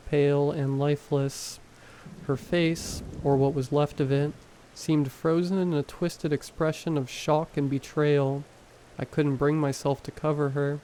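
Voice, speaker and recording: A man narrating horror stories in a calm, measured storytelling voice. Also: a little high, slight background noise, poor audio. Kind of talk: scripted narration.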